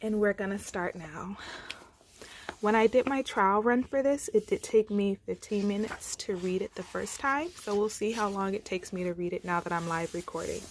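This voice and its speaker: A woman reading a storybook aloud to children with expressive, folksy, dramatic phrasing. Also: mid-range voice, moderate pace, slight background noise.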